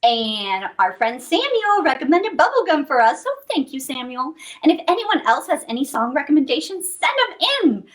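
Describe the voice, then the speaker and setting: A woman hosts storytime for young children in an extremely high voice. Her delivery is animated and swooping, playful and full of exclamations aimed directly at the kids. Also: moderate pace, hardly any pauses.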